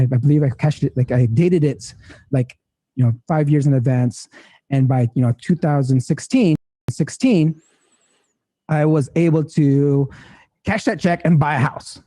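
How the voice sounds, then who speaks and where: A man's voice: a little high, warm tone, breathy edge, dry recording. A man in his thirties, a guest in a casual interview, talking in a rambling way full of fillers and restarts. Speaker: guest entrepreneur.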